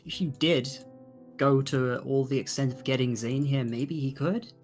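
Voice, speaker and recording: Mid-pitched man, halting game master. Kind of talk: casual narration of tabletop game. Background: faint background music.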